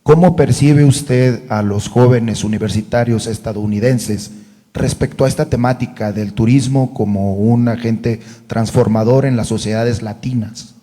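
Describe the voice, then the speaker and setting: A man's voice: medium pitch, steady tone, measured pace, warm tone. A man in the audience asking a formal, polite question after thanking the speaker. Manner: polite and formal, compliments first. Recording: long hall reverb.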